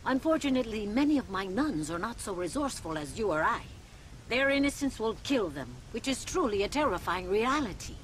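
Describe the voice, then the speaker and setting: An actress playing a dry-witted older mother superior, speaking in a measured, sardonic way in a video game cutscene. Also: fairly high pitch, big pitch swings, a little hiss or hum, low-quality recording. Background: game score music.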